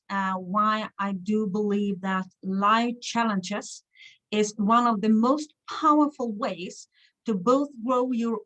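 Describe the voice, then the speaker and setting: A woman's voice: mid-range voice, a bit bright, poor audio. A woman from Sweden with a Swedish accent, speaking English as a second language, hosting a live stream as a business coach and addressing viewers directly in an encouraging way. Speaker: online business coach and livestream host. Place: hotel room.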